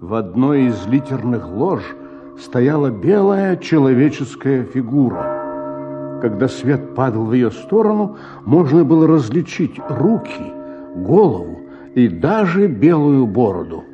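A man's voice: mid-range voice, sing-song, unhurried, lo-fi sound. A man narrating a literary short story for radio in a dramatic storytelling style. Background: music.

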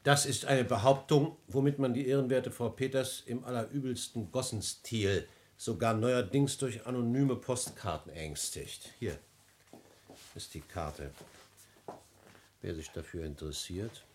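An actor playing a dignified family physician in a classic radio play, formal, measured and declamatory. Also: male voice, mid-range voice, natural voice. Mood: pointed. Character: courtly, dignified family physician, loyal and dryly pointed. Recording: noisy old radio drama recording.

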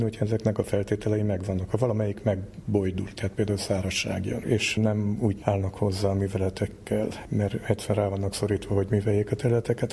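A man's voice: lowish voice, warm tone, a little hiss or hum. A middle-aged or older man, an academic guest, explaining things informally in a plain-spoken way. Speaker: academic scientist, interview guest.

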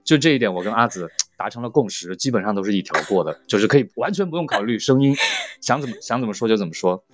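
A man's voice: fairly low pitch, animated, fast talker, no room sound. A man chatting casually and playfully as a voice actor guest in a group conversation.

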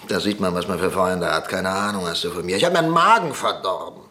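An actor playing a weary, irascible middle-aged conservative politician in a radio drama, blunt and sardonic. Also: male voice, low-pitched, animated, quick pace, pushed and bright. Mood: gruff, defensive. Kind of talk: German radio drama dialogue.

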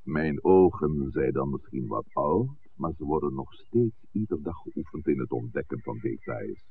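An actor playing an older, authoritative village priest, speaking formally and eloquently in a 1960s radio drama. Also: male voice, very deep voice, expressive, husky, exaggerated voice. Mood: measured, firm. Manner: formal, measured, eloquent, commanding. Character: old village priest, authoritative, stern, protective of his flock. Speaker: parish priest. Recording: tinny, noisy 1960s radio recording.

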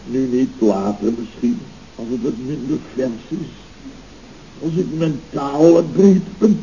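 An actor playing a pompous, hot-tempered middle-aged contractor boss in a Dutch radio comedy serial, with bombastic, flowery, melodramatic delivery. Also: male voice, on the high side, creaky, dark tone, heavily acted. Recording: muffled old radio recording with hiss.

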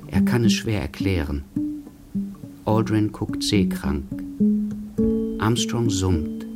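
Terse male observer, radio drama narration, lowish, loud guitar music under it. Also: lively intonation, takes it slowly.

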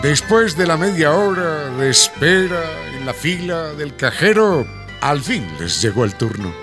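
A man narrating a family radio soap opera in an expressive, scripted storytelling manner. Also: on the high side, unhurried, music in the background.

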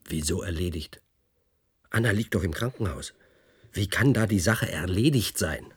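A suspicious, agitated man in a radio play. His deep voice is slightly rough. He probes and repeats himself insistently. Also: animated, moderate pace, exaggerated voice. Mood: probing, insistent. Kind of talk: German radio play acting.

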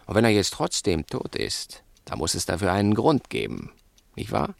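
A low, gravelly male crook in a 1960s radio play. He is cunning and menacing, pressing his point with insistent, theatrical questions and talking down to his accomplice. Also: huge pitch swings, moderate pace, no room sound, full character voice. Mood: insistent, probing.

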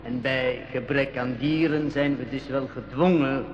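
An actor playing a calm, cruel island leader in a school radio drama, speaking formally and with cold courtesy. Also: male voice, mid-range voice, close to an everyday voice. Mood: calm, courteous menace. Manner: formal, unhurried, coldly courteous. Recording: dull, muffled radio recording.

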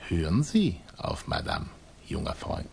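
An actor playing a paternal, self-important revolutionary leader in a 1960s German radio play, unctuous and commanding. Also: male voice, deep voice, huge pitch swings, unhurried, gravelly, darkish tone, husky, exaggerated voice. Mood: patronising.

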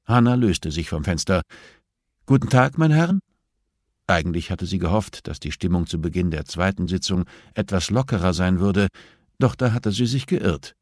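A man's voice: low voice, moderate pace, darkish tone, no room sound, clean studio sound. A man reading scripted audiobook narration of a thriller.

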